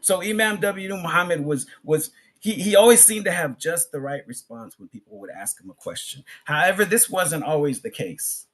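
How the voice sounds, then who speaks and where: A man giving an Islamic sermon as a public address, speaking emphatically in short phrases with pauses, repetition and rhetorical questions. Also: a little high, lively intonation, a bit bright. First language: English. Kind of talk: sermon, public religious lecture.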